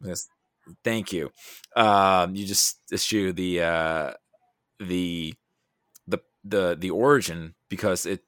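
A man talking casually about films, thinking aloud in a halting, animated way with self-quoting asides. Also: low-pitched, no echo, clean studio sound. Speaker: podcast guest discussing film. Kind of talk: casual film discussion.

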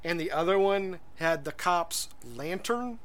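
A man's voice: sits high, measured pace, fairly bright tone, smooth. A man co-hosting a podcast in casual chat, rambling and hesitant, full of fillers and restarts. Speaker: podcast co-host.

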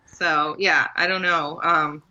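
A woman's voice: low voice, fairly even intonation, slow pace, bright, forceful tone, dull audio. A woman from Maryland, a guest author, talking casually in an interview with frequent fillers and laughs. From Maryland, USA. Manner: chatty, laughs often, many fillers.

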